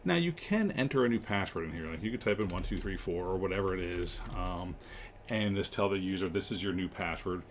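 A man hosting a casual software tutorial, explaining informally step by step. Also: deep voice, fairly quick, slight background noise. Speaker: tutorial host, telecom technician.

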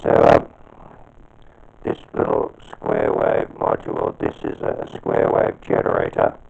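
An Australian man giving an informal, halting workbench demonstration as an electronics hobbyist. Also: extremely low pitch, monotone, creaky, phone-quality sound. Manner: halting, hesitant delivery. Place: indoor workbench.

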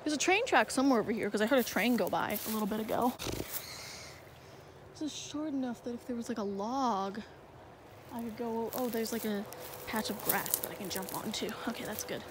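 A young woman with a fairly high voice wanders through a dark marsh at night, filming on her phone. She rambles and hesitates, filling her speech with little fillers. The phone recording carries plenty of outdoor noise. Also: brisk. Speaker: vlogger. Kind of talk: handheld night walk vlog. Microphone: phone held in hand.

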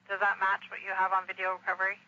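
A man's voice: high-pitched, completely flat delivery, fast. An American man working as a police dispatcher, speaking in terse, procedural phrases over a radio. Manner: clipped, procedural. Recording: muffled scanner radio, faint static.

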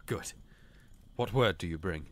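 A man's voice: low-pitched, unhurried, a bit bright. A man playing a weary, solemn ancient king with grave, formal speech in an audiobook. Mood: urgent. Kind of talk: dramatised audiobook dialogue.

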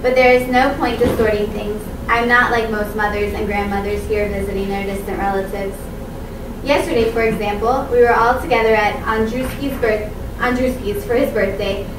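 A woman's voice: a little high, steady tone. Woman reading aloud in an echoey room. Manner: measured, careful reading with small restarts. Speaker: conference speaker reading excerpts. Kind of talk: reading a translated text aloud. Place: seminar room at a conference table. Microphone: far room mic. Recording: echoey room, far from the mic, background noise.

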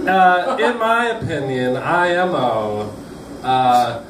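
A young man doing deadpan stand-up on a small club stage, pausing on many uh's between one-liners, picked up by a camera at the back of the room. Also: fairly high pitch. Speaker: stand-up comedian. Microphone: stand mic, filmed from the back of the room. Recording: roomy distant club recording with loud crowd noise.

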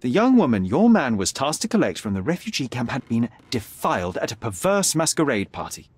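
Confident young detective, lively voice. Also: male voice, medium pitch, fairly quick, slightly rough. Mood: assured, accusing. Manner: articulate, formal, pointed. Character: confident, sharp young male detective, needling and unflappable. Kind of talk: dramatic video game acting.

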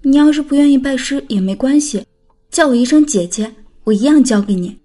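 A woman's voice: high voice, animated, fairly quick, warm tone. An actress playing a playful, caring, feisty young woman in an audiobook drama, teasing and coaxing like a big sister. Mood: caring, cajoling. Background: background music.

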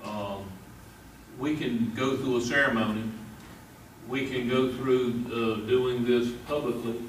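A middle-aged man gives his view at a board meeting, plain-spoken, with frequent "uh" pauses. His voice carries from across a roomy hall with background noise beneath it. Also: mid-range voice, fairly even intonation. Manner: plain-spoken, many "uh" fillers. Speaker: school board member. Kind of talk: formal meeting discussion. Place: large meeting room. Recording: echoey room, far from mic, background noise.

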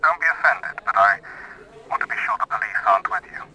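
A man's voice: on the high side, big pitch swings, fast. A secretive middle-aged informant in a radio play. He is courteous, careful and hesitant, heard with background noise. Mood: guarded. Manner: polite, hesitant, formal. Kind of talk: audio drama acting.

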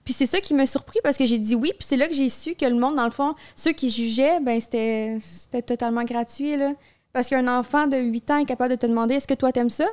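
A woman in her thirties with a Quebec French accent, a podcast guest talking candidly and laughing easily, recorded on a boom-arm studio mic in a small studio. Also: a little fast, darkish tone, smooth. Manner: candid, laughs easily while telling stories. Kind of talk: casual chat. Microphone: studio microphone on a boom arm.

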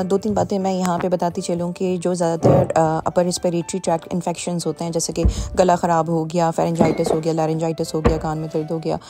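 A woman's voice: fairly low pitch, darkish tone. A woman vlogger talking her viewers through a show home, chatty and full of fillers as she walks with her phone. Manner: chatty, many fillers, code-switching into English. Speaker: vlogger giving a home tour. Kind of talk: casual vlog narration. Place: indoors in a show home, walking room to room. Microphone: phone held in hand.

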